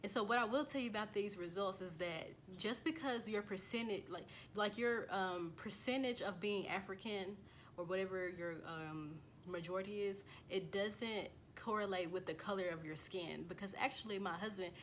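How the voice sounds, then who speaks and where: A woman's voice: mid-range voice, moderate pace, slight background noise. An American woman vlogging casually as she reads through results on a screen, talking loosely with lots of fillers and restarts. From United States. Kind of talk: casual talk to camera while reading results off a screen.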